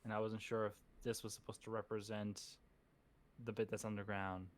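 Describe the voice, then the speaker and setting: A man playing a tabletop game, working out a plan aloud with many pauses and restarts. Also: on the low side, level tone. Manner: halting, full of fillers and restarts while planning. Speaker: player.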